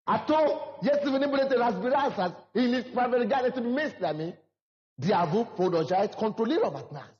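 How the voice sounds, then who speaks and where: High-voiced preacher in a reverberant hall. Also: male voice, animated, normal speed. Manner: preaching with rising emphasis and repetition. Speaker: pastor. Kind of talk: sermon. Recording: dull lo-fi hall recording.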